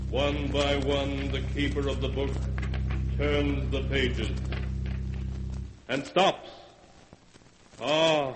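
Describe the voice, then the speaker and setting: A man acting as the solemn, theatrical host of a 1940s radio horror anthology, narrating with ominous gravity. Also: on the high side. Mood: hushed, portentous. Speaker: announcer and host. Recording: noisy vintage 1940s radio, long reverb.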